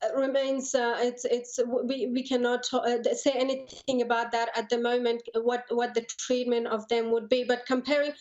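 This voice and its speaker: A woman in her thirties from Afghanistan gives a news interview in a fairly high, level voice. She is earnest and halting, often repeating words. The audio is slightly dull and lo-fi. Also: flat delivery, darkish tone. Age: 30s. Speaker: interview guest, lawyer and human rights advocate.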